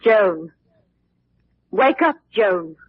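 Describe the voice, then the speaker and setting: A woman's voice: on the high side, sing-song, vocal fry, phone-quality sound, heavily acted. An actress playing a vengeful, murderous woman villain in a theatrical old radio mystery style. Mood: sinister coaxing. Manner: slow, theatrical, drawn-out menace. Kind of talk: 1940s radio mystery acting. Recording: old radio recording, echoey hall, faint noise.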